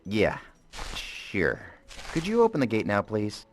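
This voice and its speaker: Dry adventurer, game hero, deep, expressive intonation, sarcastic, faint music behind. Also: male voice, measured pace. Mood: wry. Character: wry, exasperated male adventurer hero. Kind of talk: video game cutscene acting.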